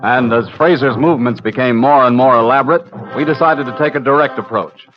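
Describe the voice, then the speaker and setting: Man playing a measured radio crime investigator. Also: medium pitch, lightly acted. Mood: matter-of-fact. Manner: measured, matter-of-fact. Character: sober, methodical male investigator narrating a surveillance case. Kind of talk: old-time radio crime drama narration. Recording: dull old radio recording, music behind.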